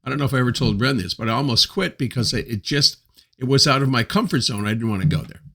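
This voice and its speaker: A man in his seventies hosting his own show, telling a personal story in a reflective, unhurried way with many pauses. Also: mid-range voice, gravelly, fairly bright tone, husky. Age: 70s. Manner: unhurried, hesitant with frequent pauses and fillers. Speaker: host.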